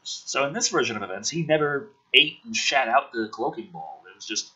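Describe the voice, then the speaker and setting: A man's voice: medium pitch, expressive, fairly quick, pushed and bright, breathy edge, poor audio. A man reading a film script aloud in a theatrical, emphatic style, with sarcastic asides.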